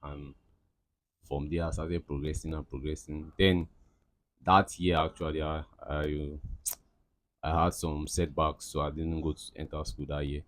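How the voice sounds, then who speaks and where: A man's voice: rumbling low, level tone, unhurried. A young man from Cameroon, answering interview questions in a rambling, casual way with lots of fillers and restarts. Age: young adult. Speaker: interviewee, aspiring footballer.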